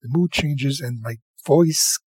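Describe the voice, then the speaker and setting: A man hosting a casual music show, chatty and rambling, with a slightly husky, tired night voice. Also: mid-range voice, slow, a little mellow, no room sound, studio quality. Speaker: radio show host.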